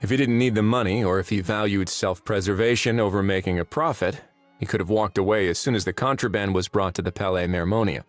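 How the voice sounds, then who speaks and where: An actor playing a laid-back male prison warden in a video game, talking in a relaxed, dry, wry way. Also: fairly low pitch, fast talker, soft background music, close to an everyday voice. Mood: easygoing.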